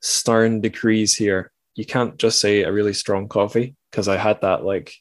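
A man hosting a casual podcast interview in a playful, halting way that often doubles back on words. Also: on the low side, steady tone, no room sound. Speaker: podcast host.

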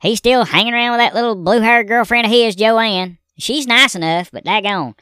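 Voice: medium pitch, normal speed, pushed and bright, clean tone, no echo, studio quality, close to an everyday voice. A performer doing a folksy Southern redneck husband. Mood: grumbling folksy. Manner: folksy drawl, rambling storytelling with gripes. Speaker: recurring comedy character. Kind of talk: comedic character monologue.

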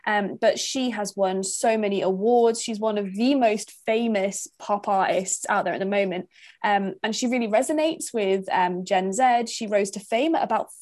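A woman's voice: medium pitch, normal speed, a little mellow, dry recording. A young woman with a mixed northern English accent, co-hosting a podcast and explaining topics in casual chat with many fillers. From northern England. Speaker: podcast co-host.

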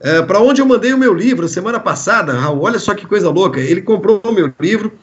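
A man with a fairly high voice hosts a live online show, chatty and poking fun at himself. His audio sounds a little dull over the video call. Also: lively intonation, quick pace. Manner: chatty, self-deprecating. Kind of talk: live stream hosting.

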